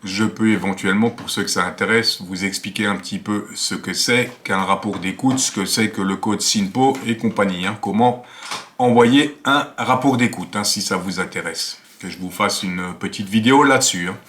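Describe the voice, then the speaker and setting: A man's voice: fairly low pitch, normal speed, fairly bright tone, a little hiss or hum. A man, presenting a casual tutorial in a conversational, explanatory way with frequent tag words. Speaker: amateur radio video host. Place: small attic home office, indoors.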